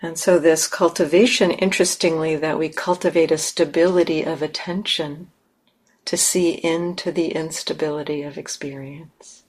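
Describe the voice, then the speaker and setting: A woman leading a guided meditation in measured, instructive phrases with long pauses. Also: deep voice, steady tone, darkish tone, husky, poor audio. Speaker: meditation teacher.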